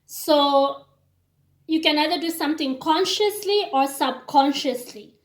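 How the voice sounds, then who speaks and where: A woman's voice: high voice, measured pace, reverberant. A woman from Kenya with a Kenyan accent, English not her first language, talking casually to her viewers in a chatty, digressive way. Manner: chatty and digressive, acts out quoted reactions. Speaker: vlogger. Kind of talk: casual talking-to-camera monologue.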